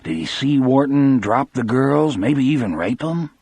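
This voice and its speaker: A man's voice: mid-range voice, animated, unhurried, a little mellow, husky, dry recording, voice pushed up. A man voicing a thoughtful, plain-spoken male prison guard in an audiobook. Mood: questioning. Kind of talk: audiobook character dialogue.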